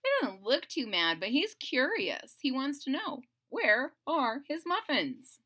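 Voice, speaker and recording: A woman reading a storybook aloud to children, expressive and conversational, speaking directly to young listeners. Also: high voice, measured pace. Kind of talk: animated children's read-aloud with casual asides.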